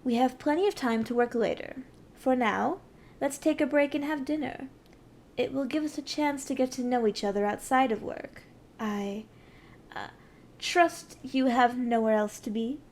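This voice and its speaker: A woman's voice: high-pitched, normal speed, a little mellow. A woman playing a haughty, condescending evil sorceress queen in an ASMR roleplay, with an imperious, theatrical and mocking delivery. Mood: casual, slightly awkward.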